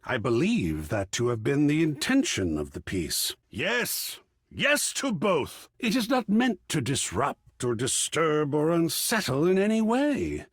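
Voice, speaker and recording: A man voicing an excitable, cultured art curator character in a video game, theatrical and erudite, with precise diction and a husky, breathy voice. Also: fairly high pitch, a little slow, no room sound, studio quality, heavily acted. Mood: earnest, pleased. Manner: effusive, erudite, precisely enunciated, dramatic. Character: excitable, cultured art object come to life, gallery curator.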